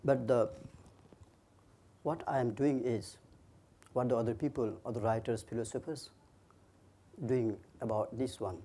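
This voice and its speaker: A man's voice: mid-range voice, fairly even intonation, measured pace, long pauses, dark tone. A man with a Nepali accent, an author giving a talk on his book in short, earnest phrases. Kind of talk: lecture.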